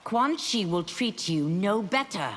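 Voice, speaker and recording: A reverberant, fairly low voice over loud noise: a righteous warrior woman played by an actress. She is earnest and composed, reproving with lively inflection in video game style. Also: measured pace, lightly acted. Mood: grave, reproving. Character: righteous, composed female warrior, morally firm. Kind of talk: fighting game character dialogue.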